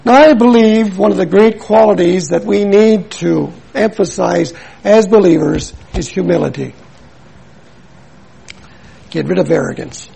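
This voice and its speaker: A man's voice: high-pitched, a little slow, creaky, mellow, slightly rough, low-quality recording. An older man from Milwaukee preaching a sermon in an earnest, emphatic way, repeating his points and telling stories. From Milwaukee, USA.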